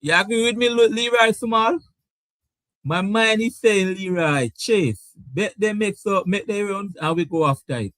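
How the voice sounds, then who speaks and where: A man with a Caribbean English accent hosting a sports debate show, animated and repeating his words. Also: high-pitched, measured pace, no echo. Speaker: show host. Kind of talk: lively sports debate talk.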